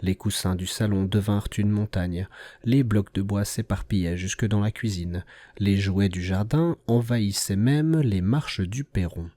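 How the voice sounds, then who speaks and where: Slow, low-voiced male storyteller. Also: warm tone, no echo. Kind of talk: scripted narration of a children's tale.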